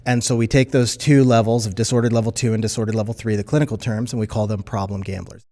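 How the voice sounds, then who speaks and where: A middle-aged man giving an explanatory interview answer as an expert, measured and careful in his wording. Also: on the low side, fairly even intonation, mellow.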